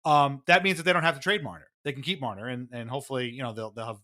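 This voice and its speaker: A man hosting a podcast, talking casually about hockey in a rambling, animated, conversational way. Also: medium pitch, rapid-fire. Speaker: podcast host.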